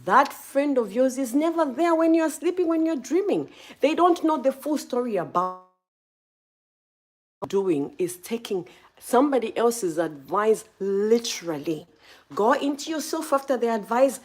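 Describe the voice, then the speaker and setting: A woman's voice: fairly high pitch, breathy edge. A woman from Zambia hosting a live stream, giving motivational advice and speaking straight to her viewers. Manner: animated, speaks straight to viewers, greets chat by name.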